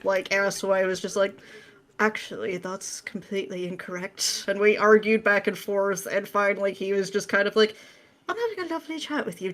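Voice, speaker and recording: A woman's voice: medium pitch, fairly bright tone. A woman talking as a podcast guest in an informal, wry, joking conversational manner. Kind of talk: casual chat.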